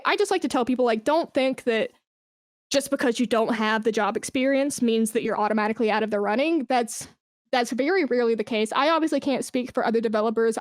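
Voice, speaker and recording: High-voiced woman giving encouraging career advice. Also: no room sound, studio quality. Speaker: podcast guest, esports brand and community manager.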